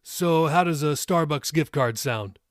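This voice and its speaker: A man's voice: a little high, a little slow, no room sound, studio quality, voice pushed up, natural voice. A man voicing a jokey, affectionate young father in an audiobook story. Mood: joking, affectionate.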